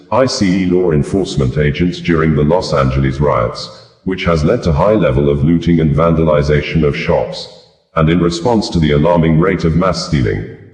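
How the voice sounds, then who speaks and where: A man's voice: very deep voice, a little mellow. A man reading scripted news in a formal manner, in long run-on sentences. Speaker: news reader. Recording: echoey, reverberant room sound.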